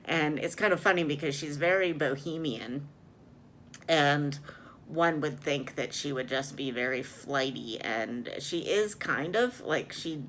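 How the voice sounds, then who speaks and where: A woman's voice: low voice, a little slow, fairly bright tone, slight background noise. A woman chatting casually as a book vlogger, rambling and hesitant, often correcting herself as she goes. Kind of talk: casual chat, reading vlog.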